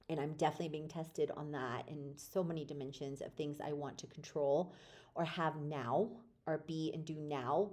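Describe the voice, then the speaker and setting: Low-voiced woman telling her life story. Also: darkish tone. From born in Poland, raised in the United States. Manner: rambling, reflective, with many fillers and restarts. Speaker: podcast host. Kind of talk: casual personal storytelling.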